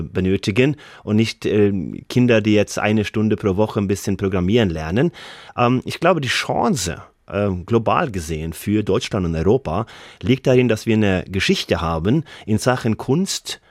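A man with a Norwegian accent speaks German as a radio interview guest, earnest and full of small hesitations. His voice sits somewhat low. The sound is dry and close, with no room around it. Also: no room sound. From Norway.

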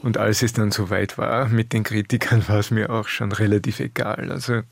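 A man's voice: on the low side, level tone, normal speed, vocal fry. A middle-aged Austrian man from the Alps, an author being interviewed, talking in a dry, laconic way and chuckling often. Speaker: author guest. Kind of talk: relaxed interview talk.

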